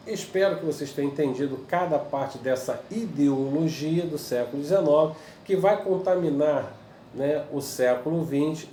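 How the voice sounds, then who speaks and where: A man's voice: a little high, warm tone, far from the mic. A male history teacher with a Brazilian Portuguese accent, giving a recorded video lesson to pupils and checking in with "tá?" while hesitating with fillers. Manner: explaining patiently with frequent "tá?" check-ins and hesitant "é, é" fillers. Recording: echoey room, voice a bit off-mic, faint background hiss.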